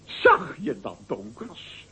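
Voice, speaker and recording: A pompous, chuckling mayor. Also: male voice, sits high, huge pitch swings, takes it slowly, vocal fry, pushed and bright, slightly rough, heavily acted. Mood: gloating. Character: pompous middle-aged male mayor, blustering. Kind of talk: radio play acting. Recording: dull lo-fi radio recording.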